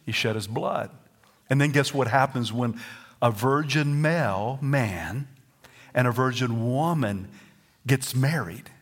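A man with a Southern US accent preaching a sermon as pastor, lively and at times rising to near-shouts and singing. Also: medium pitch, takes it slowly, breathy edge.

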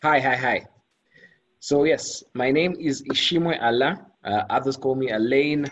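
A man's voice: mid-range voice, measured pace, muffled recording, a little hiss or hum. Friendly Rwandan man. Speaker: podcast guest.

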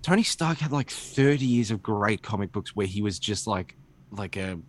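Male podcast co-host, slightly low register, animated and sweary, loose opinionated chat. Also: normal speed. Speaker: comic book podcast co-host.

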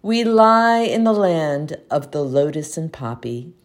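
A woman reciting a poem aloud as an amateur reader, with measured, expressive phrasing. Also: low-pitched. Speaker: community poetry reader. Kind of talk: poetry recitation.

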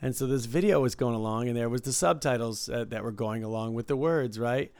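A man's voice: medium pitch, fairly quick, a little mellow, no echo. A man telling funny anecdotes in casual chat, rambling and often repeating himself.

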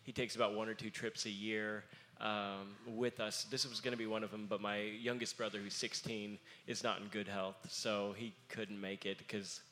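A young man with a somewhat low voice talks earnestly on a panel. He pauses often and fills gaps with ums. His talk is casual but heartfelt. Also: level tone, sharp tone. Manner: earnest, halting with many fillers. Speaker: musician in family band.

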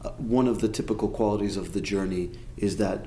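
Man interviewer, rapid mellow delivery, echoey room, lower voice, reflective. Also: steady tone, a little hiss or hum. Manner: reflective, rephrases guest's points. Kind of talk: conversational interview.

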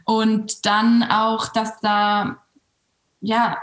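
A woman's voice: fairly high pitch, completely flat delivery, extremely slow. Hesitant woman in a roomy space. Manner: hesitant, restarts and fillers. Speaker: yoga teacher and co-founder. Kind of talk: casual interview talk. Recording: roomy echo.

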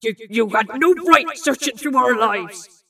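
A woman playing a stubborn, obsessive scientist father in an audio drama, with a strained, forceful and defiant delivery. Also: on the high side, measured pace, distant mic, full character voice. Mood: furious. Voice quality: strained, gravelly. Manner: forceful, defiant, screaming and growling.

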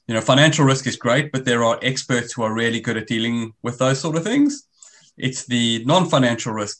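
A man's voice: mid-range voice, fairly bright tone. A man in his forties with a South African accent, speaking as an interview guest over a remote call and explaining things in long runs with frequent restarts. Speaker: guest, risk business founder. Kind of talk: conversational interview.

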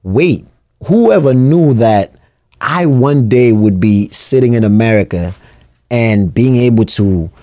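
South african-born guy doing an inspirational radio bit, warm low-key voice, thoughtful and earnest. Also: animated, no room sound, studio quality. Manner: reflective, earnest, measured. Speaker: radio segment host. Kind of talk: motivational radio talk. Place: radio studio.

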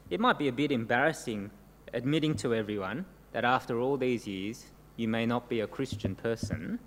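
A middle-aged man, born in Korea, preaching an earnest sermon to a congregation and often ending points with tag questions. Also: medium pitch. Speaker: pastor. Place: church service before a congregation. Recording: long church-hall reverb, faint noise.

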